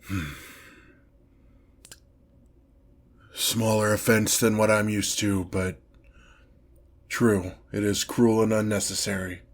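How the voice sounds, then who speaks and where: Demon lord, played by a man for fantasy ASMR roleplay: ancient, imperious, menacing, low-pitched, very slow and theatrical. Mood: intrigued, imperious. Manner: pompous, theatrical, deliberate drawn-out delivery.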